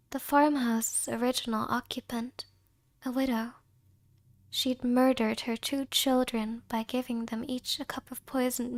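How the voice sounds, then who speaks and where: A woman telling an eerie ASMR haunted farmhouse tale as a hushed narrator, in a soft breathy half-whisper. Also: fairly high pitch, voice pushed down. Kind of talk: ASMR scary storytelling.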